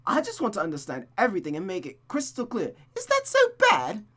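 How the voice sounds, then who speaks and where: A performer voicing an angry, jealous, sharp-tongued woman in a visual novel. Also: mid-range voice, huge pitch swings, fairly quick, pitched above the performer's own voice. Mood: furious, accusing.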